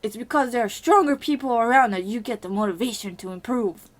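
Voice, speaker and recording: A woman voicing an earnest young male hero, speaking calmly in her near-normal voice. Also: on the high side, fairly quick, natural voice.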